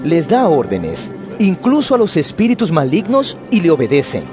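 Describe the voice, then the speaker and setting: A man's voice: on the high side, wildly swooping pitch, moderate pace. Man playing an awed, wildly swooping onlooker. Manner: exclaiming, awed. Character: marvelling man in an awed synagogue crowd. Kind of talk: audio drama Bible acting. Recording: music behind the voice.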